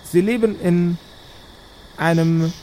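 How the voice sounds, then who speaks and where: A spiteful little skull, put on by a male game master, delivers a witches' bulletin in a fantasy tabletop game. It speaks slowly and haltingly, breaking phrases with a chanted refrain. There is some noise in the recording. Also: sits high, steady tone, warm tone, pitched above the performer's own voice, low-quality recording. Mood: menacing, announcing. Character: small talking skull, spiteful witches' broadcast messenger.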